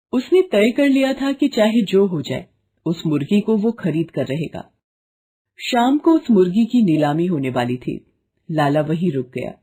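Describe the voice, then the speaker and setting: A woman's voice: on the low side, mellow, breathy edge. A woman storyteller giving scripted narration for a children's moral tale.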